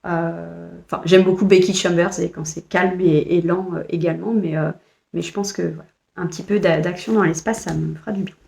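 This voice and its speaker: A woman's voice: fairly low pitch, steady tone, brisk, creaky, a little mellow. A French woman vlogger chatting casually about books she bought, hesitant with frequent fillers and restarts. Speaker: book vlogger. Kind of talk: casual book haul chat.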